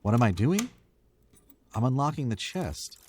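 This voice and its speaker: A man playing a smooth, arrogant young murderer in an old-time radio drama recreation, clipped and theatrical. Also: medium pitch, very animated, measured pace, darkish tone, a little hiss or hum. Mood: scheming. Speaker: radio play actor.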